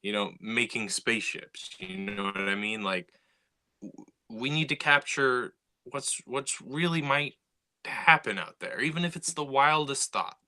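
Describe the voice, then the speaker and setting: A man's voice: mid-range voice, lively intonation, unhurried, pushed and bright. A man co-hosting a casual podcast, explaining his ideas and building his points step by step. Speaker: podcast co-host and writer.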